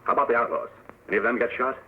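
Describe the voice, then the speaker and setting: An actor playing a steadfast Texas Ranger captain, brisk and authoritative, in an old-time western radio drama. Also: male voice, medium pitch, breathy edge, close to an everyday voice. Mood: concerned. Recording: tinny, noisy old radio recording.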